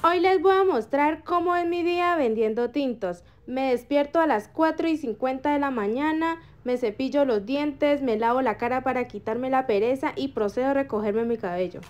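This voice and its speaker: A young woman from Colombia tells the story of her day in a relaxed, chatty voice-over. She speaks, her voice sits high, and soft music plays faintly underneath. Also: moderate pace, few pauses. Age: young adult. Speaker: vlogger.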